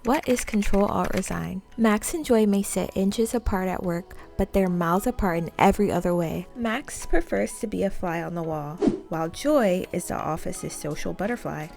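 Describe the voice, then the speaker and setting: A woman's voice: medium pitch, normal speed, creaky, few pauses, darkish tone, faint music behind. A woman hosting as a VTuber, narrating a webtoon review with casual asides. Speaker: VTuber host.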